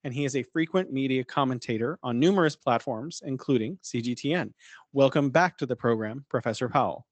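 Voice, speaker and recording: A man's voice: medium pitch, brisk, dry recording. A man hosts a podcast interview in a mid-range voice, friendly and a little hesitant, with plenty of fillers. The recording is dry and close, with no room sound. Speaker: podcast host. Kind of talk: interview hosting.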